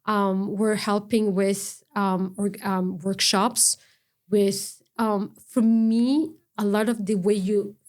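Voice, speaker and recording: A middle-aged woman guest on an interview, speaking earnestly in a halting way with frequent restarts and broken-off words. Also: medium pitch, flat delivery, darkish tone. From Ontario, Canada. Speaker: guest, non-profit founder. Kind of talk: conversational interview.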